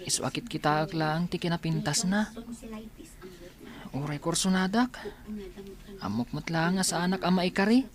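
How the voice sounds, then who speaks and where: A woman's voice: lowish voice, normal speed, pauses often, a crowd in the background, close to an everyday voice. A woman with an Ilocano accent acting a casual, teasing young friend in a radio comedy drama.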